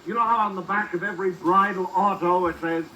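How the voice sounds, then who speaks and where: A man's voice: sits high, fairly even intonation, quick pace, pushed and bright. A man playing a henpecked, wisecracking husband, delivering gags in 1940s radio comedy style. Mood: gloomy wisecracking. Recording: distant, noisy vintage radio tape.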